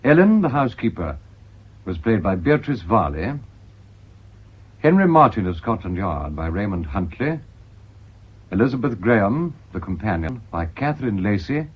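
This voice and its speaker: Husky, low British radio announcer, male. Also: very animated, moderate pace, long pauses. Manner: formal. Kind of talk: scripted closing credits announcement. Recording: dull, lo-fi old radio recording.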